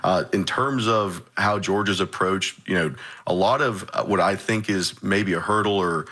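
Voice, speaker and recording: Male state legislator as panel guest, low-pitched, measured and explanatory, unscripted political talk. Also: bright, forceful tone.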